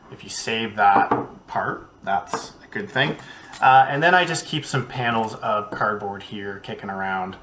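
A man in his thirties, an art educator, guiding children through a craft project at home in a relaxed, chatty way with many ums and uhs. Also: medium pitch, a little hiss or hum. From Canada. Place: small home studio.

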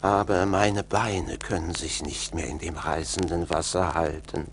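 Radio play old man: venerable but tyrannical, lowish, very slow and drawn-out, from vinyl. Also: fairly even intonation, a little hiss or hum. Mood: feeble, pleading. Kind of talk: German radio play acting.

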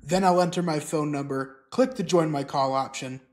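A middle-aged man presenting scripted instructional narration, explaining clearly step by step. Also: on the high side, moderate pace. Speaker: software tutorial presenter.

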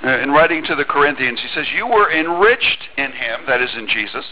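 A man's voice: mid-range voice, expressive, brisk, dull audio. A middle-aged man preaching a sermon in a church on a headset mic, talking conversationally with small restarts and reading scripture aloud. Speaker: pastor. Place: church sanctuary.

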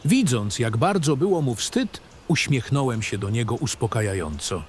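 A male narrator tells a fantasy story in a literary, first-person style. His mid-range voice moves through a lively, expressive intonation. Soft nature ambience plays faintly behind the reading. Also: mid-range voice, moderate pace, slight background noise. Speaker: narrator of a fantasy audio drama.

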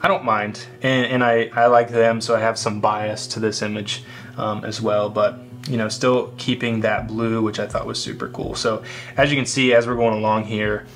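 A young man, a photographer, casually talking through his photos, relaxed and rambling with many fillers. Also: fairly low pitch. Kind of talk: casual screen walkthrough. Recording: faint guitar music underneath. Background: faint guitar music.

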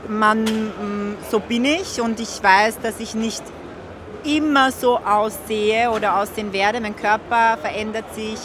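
A woman's voice: a little high, steady tone, measured pace. A woman in her thirties giving candid, conversational interview answers, searching for words, into a handheld recorder. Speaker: interviewed fitness coach. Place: large indoor fitness expo hall. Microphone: handheld recorder held out to speaker. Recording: noisy busy trade fair hall.

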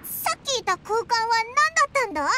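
A woman voicing a small, squeaky, excitable and brash fairy companion in an anime video game, with blunt casual speech. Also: brisk, background noise. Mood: curious, surprised.

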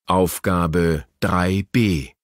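A man's voice: deep voice, fairly even intonation, dry recording, clean studio sound. A man announcing exercise numbers and reading short texts for language course audio. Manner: very slow, deliberate.

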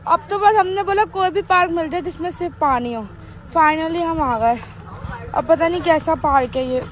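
A woman's voice: very high voice, normal speed, slight background noise. A young woman vlogging casually at an outdoor water park, talking into a phone held at arm's length, playful and complaining. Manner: complaining, playful, talks straight to the camera. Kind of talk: casual vlog chat.

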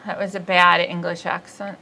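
A bright, forceful woman's voice with a Midwestern accent, chatting and joking in a small studio. She is in her forties and from Ohio, and she tells her stories in a loose, laughing way. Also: fairly low pitch, flat delivery, normal speed, creaky, slight background noise. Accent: Midwestern American. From Ohio, USA. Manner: chatty, laughing, bawdy storyteller. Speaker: comedian host. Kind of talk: casual comedic chat. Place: small studio set, seated indoors.

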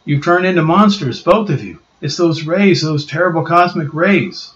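A man's voice: on the high side, moderate pace, fairly bright tone, voice pushed up, lightly acted. A man doing frightened young woman Susan. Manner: fretful, breathless, pleading. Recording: dull roomy home recording.

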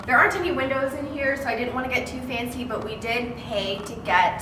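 A woman's voice: fairly high pitch, a little fast. American woman touring an echoey empty house. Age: young adult. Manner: enthusiastic. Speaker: vlogger, homeowner giving a tour. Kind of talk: casual house tour presentation. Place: bare framed house interior, indoors. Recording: echoey empty room, distant mic, clear noise.

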